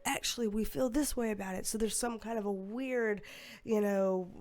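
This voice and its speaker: A young woman reading tarot cards to camera in a casual, rambling way, her voice going hoarse. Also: medium pitch, a little mellow. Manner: rambling, filler-heavy, with sighs. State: losing her voice, a bit hoarse. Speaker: tarot reader.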